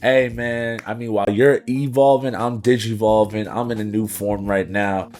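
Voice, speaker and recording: Male podcast host, lowish voice, a bit raspy, hype and casual. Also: fairly even intonation, moderate pace, a little mellow, faint music behind. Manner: hype, slangy, upbeat. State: slightly raspy after partying.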